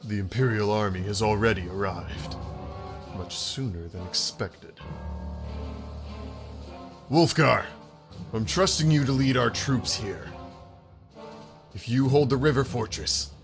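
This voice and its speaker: A man's voice: fairly low pitch, a little slow, long pauses, over music. A man voicing a grave, commanding rebel general in a video game fan dub. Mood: grim, commanding.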